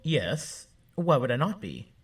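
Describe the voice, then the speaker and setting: A man playing a naive newborn android son in an audio drama, speaking in a halting, literal, innocently questioning way. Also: fairly high pitch, sing-song, breathy edge, recorded from a distance, heavily acted. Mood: calm, puzzled.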